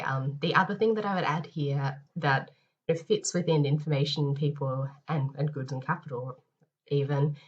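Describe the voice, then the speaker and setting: A woman lecturer with a head cold, sounding nasal and a bit hoarse, giving a recorded lecture with many ums and restarts. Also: low-pitched. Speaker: university lecturer.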